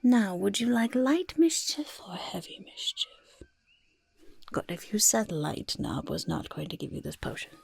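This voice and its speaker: A woman voicing a cheerful, mischievous goblin potion-maker in a soft, husky ASMR half-whisper, fussy and chattering. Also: medium pitch, very animated, measured pace, exaggerated voice. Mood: proud, instructive. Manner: fussy, chattering, repeats words. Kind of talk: soft-spoken ASMR roleplay.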